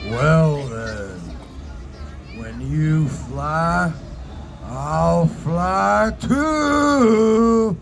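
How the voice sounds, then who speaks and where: A man playing a huge, boastful, dim-witted grizzly bear who roars slowly in a storytelling puppet show. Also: lively intonation, vocal fry, exaggerated voice. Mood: stubborn. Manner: slow, roaring, boastful. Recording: noisy outdoor live recording, traffic rumble.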